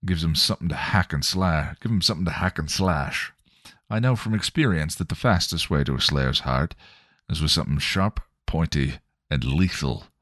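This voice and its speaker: A man's voice: very deep voice, normal speed, a bit bright, dry recording, clean studio sound, heavily acted. A man doing a sneering coarse vampire. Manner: cocky, slangy, rough-edged. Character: coarse sneering male vampire with a demon inside.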